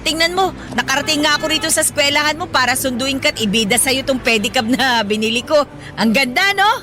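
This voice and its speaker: High, bright, feisty working-class mother. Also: quick pace. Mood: proud, upbeat. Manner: animated, motherly, colloquial, playfully indignant. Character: hardworking, proud, affectionate 37-year-old mother driving a pedicab. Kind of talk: Filipino radio drama acting.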